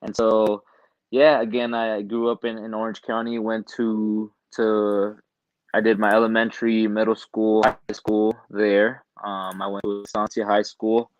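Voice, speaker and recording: A young man from Southern California answering interview questions in a relaxed, hesitant way, with many ums and restarts. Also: lowish voice, measured pace, clean tone, no echo, lo-fi sound. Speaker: guest, professional soccer player.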